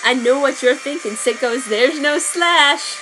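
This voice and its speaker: A woman's voice: high voice, unhurried, background noise, pitched above the performer's own voice. A woman voicing a giddy teenage fanfic writer in bubbly, sing-song asides. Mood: cheeky.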